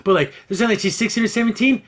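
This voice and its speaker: A man with a high-pitched voice hosts a casual review podcast. He complains with exasperation and mocks what he is discussing in a conversational way. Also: racing, fairly bright tone. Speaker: podcast host.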